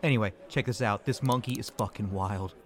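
A man's voice: lowish voice, fairly quick, close to an everyday voice. A man playing a cocky, argumentative call-centre worker in an audio drama, with a self-righteous manner. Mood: cocky, needling.

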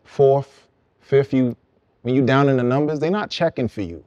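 A young man from Brooklyn, a songwriter guest, explaining his path in relaxed, reflective casual conversation. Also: medium pitch, normal speed, no room sound, muffled recording. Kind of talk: casual podcast chat.

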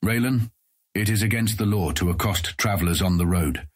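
Cold stern fantasy ranger, really low husky flat voice, curt and bossy, audiobook drama. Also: male voice, moderate pace, no echo, studio quality. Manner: curt, commanding, formal speech. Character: cold, stern ranger guarding the road, older than Raylan. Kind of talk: full-cast fantasy audiobook acting.

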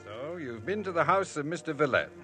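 A gentle, calm priest. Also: male voice, on the high side, a little fast. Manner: soft, reassuring. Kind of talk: 1950s radio drama acting. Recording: old broadcast, music underneath.

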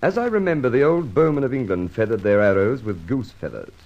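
A man playing a sharp, dryly witty police inspector in an old radio drama, polite and deferential. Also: mid-range voice, sing-song, a little fast. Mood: earnest. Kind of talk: 1940s radio acting. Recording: dull lo-fi old radio recording.

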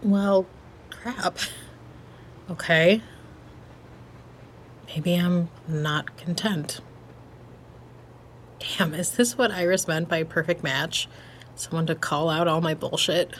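A laid-back, sarcastic woman with a low voice and a slow pace. She talks bluntly and casually in a naturalistic audio drama, over faint ambience. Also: slight background noise. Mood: wry, reflective. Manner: casual, slangy, wry.

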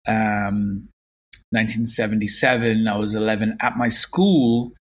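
A man in his fifties with a Limerick Irish accent, recalling his past as an interview guest in an unhurried, reflective way. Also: fairly low pitch. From Ireland. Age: 50s. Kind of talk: casual interview.

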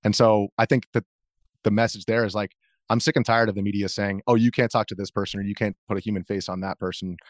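A man's voice: on the low side, level tone, fast talker, dry recording, studio quality. A man talking casually as an interview guest, a journalist who often repeats words mid-sentence.

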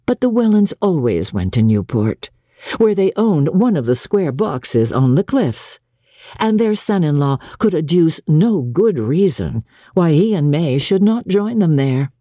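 A woman's voice: deep voice, very animated, unhurried, dark tone, no echo, clean studio sound. A woman reading a classic novel aloud as scripted, dramatic audiobook narration.

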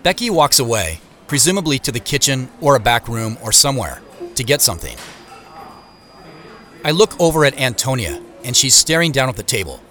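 A man's voice: medium pitch, big pitch swings, brisk, sharp tone. An actor playing a reflective man who narrates his own story in scripted present-tense audio drama narration. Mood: reflective, observant. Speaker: first-person narrator.

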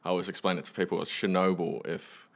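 A man's voice: deep voice, little pitch movement, fast talker, creaky. A young man from Western Australia, a musician guest chatting casually in an understated, matter-of-fact way. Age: young adult. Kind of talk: casual chat.

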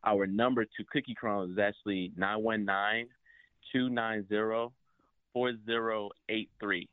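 A man's voice: lowish voice, steady tone, measured pace, clear, resonant tone, no room sound. Young man on a tinny phone line. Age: 20s. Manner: upbeat, promotional. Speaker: guest, family business owner. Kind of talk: phone-in interview.

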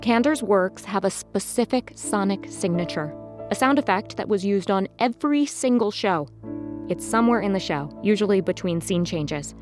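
A woman's voice: medium pitch, animated, normal speed, music in the background, lightly acted. A woman playing a self-important, fiercely certain fan club commandante in a mock interview, pompous and emphatic. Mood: proud, knowing. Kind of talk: mockumentary audio drama interview.

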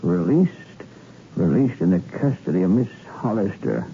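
Low, tinny-sounding wry newspaperman. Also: very animated, moderate pace, creaky, full character voice. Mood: wry, helpful. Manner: offhand, musing. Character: wry, obliging newspaperman digging through files. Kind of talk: 1960s radio thriller acting. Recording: muffled phone-like hissy old radio recording.

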